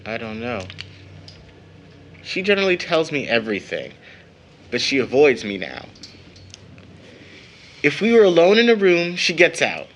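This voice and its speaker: A man playing a young brother and son in an audio drama, speaking in a measured, earnest, slightly wry way. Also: a little high, wildly swooping pitch. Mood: somber, concerned. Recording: noticeable background noise.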